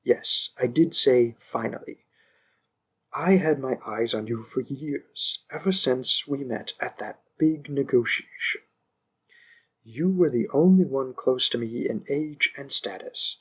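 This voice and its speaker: A man playing a smug, possessive young mafia boss in an audio roleplay, speaking deliberately with condescending politeness and calm menace. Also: medium pitch, husky. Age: young adult. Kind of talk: scripted audio roleplay addressed to one listener.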